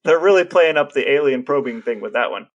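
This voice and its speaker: A man co-hosting a podcast, chatting casually with deadpan, playful humor. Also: mid-range voice, fairly quick, highs cut off. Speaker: podcast co-host.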